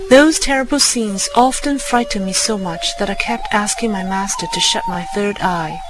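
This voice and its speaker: A woman's voice: medium pitch, low-quality recording. A woman reading a scripted first-person fairy tale as a storybook narrator. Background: music.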